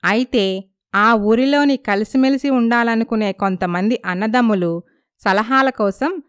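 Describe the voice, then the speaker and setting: A woman's voice: a little high, a little slow, smooth, dry recording, studio quality. A woman narrating a scripted moral story.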